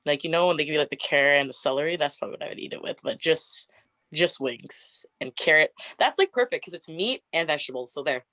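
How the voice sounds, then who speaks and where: Young woman, 20s, deep raspy voice, chatty guest, dry close sound. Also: fast talker, bright, forceful tone, no room sound. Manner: chatty, frequent "like" fillers, laughs often. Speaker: interview guest. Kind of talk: casual get-to-know-me interview.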